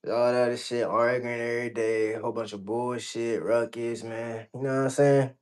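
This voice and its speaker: Guy from georgia being interviewed, mid-pitched voice, blunt, super slangy, cusses a lot. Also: level tone, normal speed, a little mellow. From Georgia, United States. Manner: blunt, slangy, heavy swearing. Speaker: interview guest. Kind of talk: casual chat.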